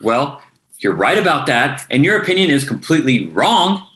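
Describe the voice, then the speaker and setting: Casting: male actor as a rude, combative hothead, bright forceful voice with wildly animated pitch. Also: normal speed. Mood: scornful. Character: hot-headed man, rude, dismissive, quick to argue. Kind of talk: screenplay table read.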